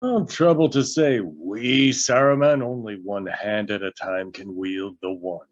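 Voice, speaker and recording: An actor playing a grave, wise old wizard, making solemn, measured pronouncements at a script table read. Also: male voice, on the low side. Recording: slightly dull lo-fi audio.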